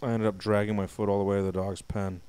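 A man's voice: fairly low pitch, level tone, fast, clear, resonant tone. A young man co-hosting a game playthrough, reading a diary entry aloud with mock drama and joking with his partner. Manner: laughs loudly, reads the diary with mock drama. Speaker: let's play co-host.

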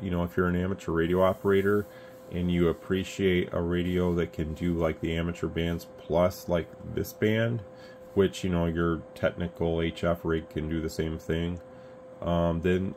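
An American man giving casual, unscripted product commentary as a video host, rambling along conversationally. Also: sits low. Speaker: radio reviewer, video host. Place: indoors at an electronics radio bench. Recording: steady background noise, room ambience.

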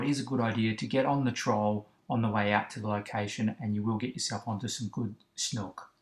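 A man talks through fishing directions in a steady, instructive voice that sits in an ordinary middle range. The recording has a roomy echo around it. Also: medium pitch. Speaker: fishing channel host. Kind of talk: instructional voice-over about kayak fishing spots.

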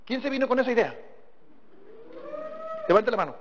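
A man's voice: extremely high pitch, big pitch swings, normal speed, a little hiss or hum. A man with a northern Mexican accent gives a folksy motivational talk, telling stories and putting rhetorical questions to his listeners. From Sinaloa, northern Mexico. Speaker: motivational business seminar speaker. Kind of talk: motivational talk to a live audience. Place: large seminar hall before an audience. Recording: dull, echoey hall recording.

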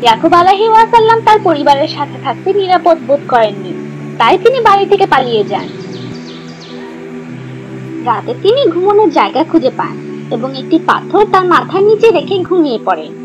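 A woman narrating a scripted children's story in a clear, instructive manner. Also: sits high, very animated, fairly quick, low-quality recording. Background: background music.

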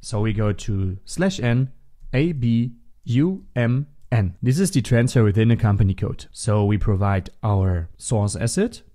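A man narrating a step-by-step software tutorial as an instructor, in a methodical, instructional manner. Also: fairly low pitch, expressive, unhurried. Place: voice-over for a screen recording.

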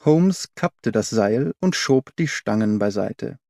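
Animated male audiobook narrator. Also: fairly low pitch, slow pace, gravelly, no echo, studio quality. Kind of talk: scripted dramatic narration. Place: dry studio.

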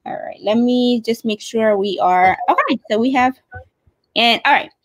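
A woman with a fairly high voice hosts a livestream in a casual, chatty style. She is on a video call, with little room sound around her. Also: normal speed, no echo. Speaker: host, campaign director. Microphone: video call mic.

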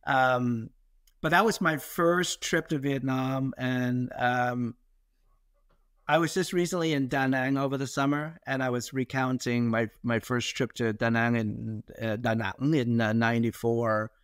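Middle-aged male, American accent, mid-pitched voice. Reflective, halting interview delivery, recorded dry. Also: fairly even intonation, fairly bright tone, no echo. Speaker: podcast guest, investor. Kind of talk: conversational life-story interview.

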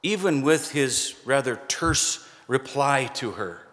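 A middle-aged man preaching a sermon from a pulpit, conversational and lightly humorous, pausing for emphasis. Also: mid-range voice, expressive, fairly bright tone. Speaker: pastor. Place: church sanctuary, standing at a pulpit. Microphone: pulpit microphone, far camera. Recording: long church reverb.

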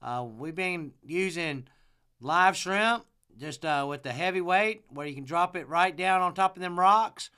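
A man's voice: high-pitched, unhurried, bright, forceful tone, clear, resonant tone. A man giving an informal fishing report as a guide, in a folksy, plain-spoken way. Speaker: fishing guide and charter captain. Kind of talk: informal fishing report narrated over a map.

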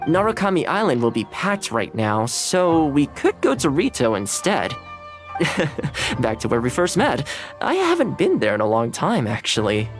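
A man's voice: mid-range voice, wildly swooping pitch, normal speed, soft background music. An actor playing a friendly young man in an anime video game, cheerful, polite and laid-back. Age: young adult. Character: friendly, easygoing young male anime housekeeper. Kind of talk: scripted video game dialogue.

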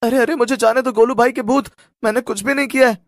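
A man's voice: very high-pitched, fairly even intonation, fast, creaky, no room sound, studio quality, pitched above the performer's own voice. A man voicing a frightened young boy who pleads in a scared way in a horror cartoon story. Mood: frightened pleading.

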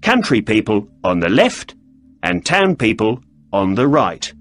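A man voicing a grumpy, defensive male shopkeeper who snaps quick, irritable answers. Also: lowish voice, sing-song, fairly bright tone, dry recording, soft background music, exaggerated voice.

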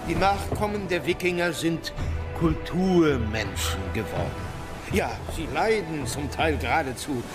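An actor playing a solemn, grandiose narrator of old legend, declaiming in a stately radio drama style. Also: male voice, fairly high pitch, expressive, measured pace, music in the background.

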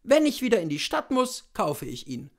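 A man reading as a young coachman, defensive, rushed, raised voice, gravelly, storybook. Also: high voice, wildly swooping pitch, pitched above the performer's own voice, heavily acted.

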